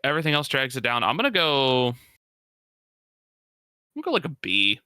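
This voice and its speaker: A man's voice: mid-range voice, very animated, brisk, pushed and bright, smooth, dry recording, clean studio sound. A man co-hosting a casual sports podcast, giving emphatic, opinionated analysis in slangy everyday language. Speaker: podcast co-host.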